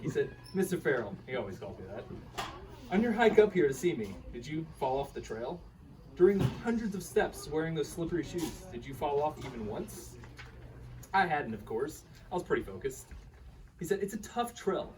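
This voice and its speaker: Give this man acting as an earnest, bemused karate student a fairly high, very lively voice. He recounts his trek conversationally, as in a radio play read live. The microphone sits some way off. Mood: animated, bemused. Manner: animated, chuckling, quotes his teacher's dialogue. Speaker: reader in a staged radio play. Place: live reading at a bookshop event. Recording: distant microphone with faint room noise.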